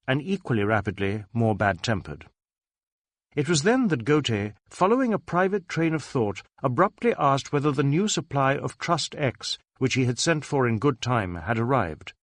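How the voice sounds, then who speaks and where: A man reading a novel aloud as scripted narration, in a measured, formal storytelling manner. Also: medium pitch, no echo, studio quality. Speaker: audiobook narrator.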